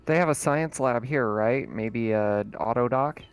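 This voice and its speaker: A man's voice: medium pitch, unhurried, muffled recording, slight background noise. A man talking casually as a player in a tabletop roleplaying game.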